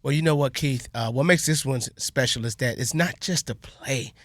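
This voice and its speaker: A man's voice: mid-range voice, moderate pace, a bit bright, no room sound, studio quality. A man talking casually and agreeably as an interview guest. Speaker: playwright guest.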